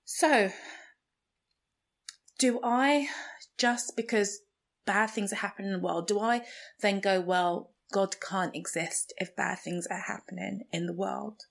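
A woman from Nigeria, a native Igbo speaker, talks through her thoughts in an unhurried, earnest way. Her voice sits at an ordinary middle pitch, and she takes her time with each phrase. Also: gravelly. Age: adult, a mother. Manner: slow, thoughtful, earnest delivery. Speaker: podcast host. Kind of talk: reflective personal monologue.

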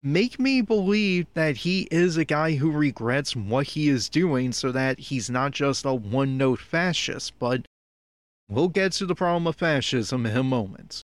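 A man's voice: mid-range voice, lively intonation, measured pace, no room sound. A man giving opinionated review commentary in a critical, nitpicky, exasperated manner. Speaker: podcast host giving episode commentary.

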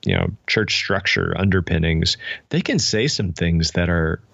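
Low-voiced man, slightly muffled, dry recording. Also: lively intonation, a little slow, vocal fry, fairly bright tone, no echo. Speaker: podcast guest, author and pastor. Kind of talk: conversational interview, reflective explanation. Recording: dull, slightly muffled audio.

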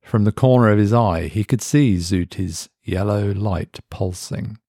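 A male narrator reads a scripted horror story with a low voice and a gravelly grain. His intonation moves a lot. The sound is dry and close, with no room around it. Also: unhurried, dark tone, no echo. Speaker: narrator, reader of horror stories.

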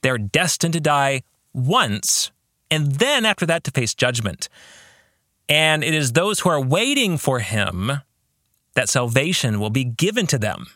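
Slow, bright-voiced man with animated pitch. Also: dry recording, studio quality. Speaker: podcast host and novelist.

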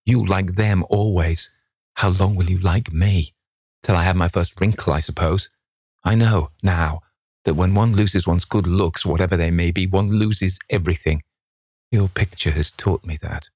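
A man's voice: sits low, moderate pace, a little mellow, dry recording, studio quality. A man voicing a vain, petulant young man in an impassioned, dramatic reading of a novel. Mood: bitter, hurt. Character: petulant, anguished young man, vain about his beauty.

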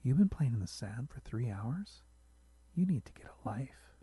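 A man's voice: mid-range voice, sing-song, moderate pace, mellow, breathy edge, no echo. A man voicing an easily outraged wife who bickers with her husband, exclaiming in disbelief and sometimes hissing in whispers. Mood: incredulous, scolding.

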